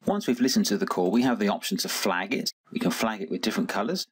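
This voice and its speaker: A man narrating a scripted software tutorial in a methodical, instructional manner. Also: fairly low pitch, lively intonation, fast, husky. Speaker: software demonstrator.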